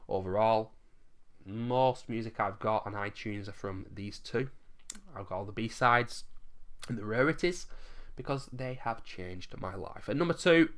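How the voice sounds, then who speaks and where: A man with a hoarse, fading voice, chatting enthusiastically through a countdown of his favourite albums and swearing casually now and then. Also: on the low side, fairly bright tone. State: losing his voice, coughing. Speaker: music vlogger ranking albums.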